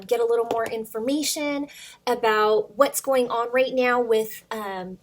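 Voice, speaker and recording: A woman's voice: a little high, a bit bright. A woman hosting storytime for young children, reading aloud expressively with sing-song emphasis and addressing questions to young listeners.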